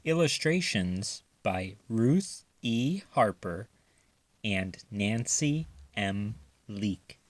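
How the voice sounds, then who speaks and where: A man narrating a children's story aloud with careful, expressive delivery. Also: lowish voice, extremely slow, dry recording. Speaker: storybook reader.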